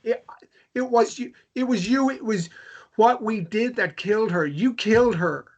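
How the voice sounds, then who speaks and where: A man acting a guilt-wracked, volatile grieving widower at a table read, halting and repeating his phrases. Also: sits high. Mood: furious, accusing. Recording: dull lo-fi sound.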